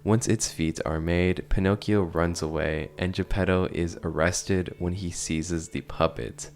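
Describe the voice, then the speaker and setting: A man's voice: deep voice, steady tone, a little slow, darkish tone, soft background music. A man narrating a casual, analytical commentary on horror videos.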